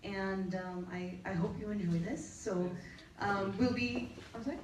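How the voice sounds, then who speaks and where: A woman's voice: medium pitch, unhurried. Female event host, informal and a little hesitant, distant from the mic in a roomy space with faint noise. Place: indoor arts venue event room.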